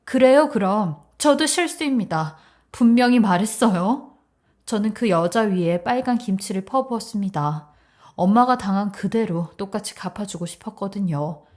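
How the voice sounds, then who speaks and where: A woman voicing a young bride, sharp and confrontational, outraged and protective of her mother in a dramatized story. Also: mid-range voice, animated, normal speed, close to an everyday voice. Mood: defiant.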